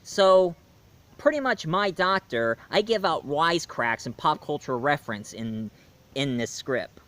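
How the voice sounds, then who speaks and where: A man's voice: a little high, sing-song, a little slow, fairly bright tone. A man talking casually to camera, announcing his own audio drama in a rambling, enthusiastic way with frequent restarts. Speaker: YouTube reviewer.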